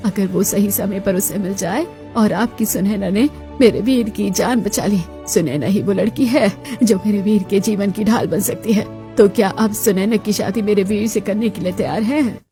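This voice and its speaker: A woman playing an imperious old grandmother of a rich family in a dramatized moral story, speaking solemnly and deliberately. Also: medium pitch, mellow, faint music behind, lightly acted. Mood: solemn, insistent.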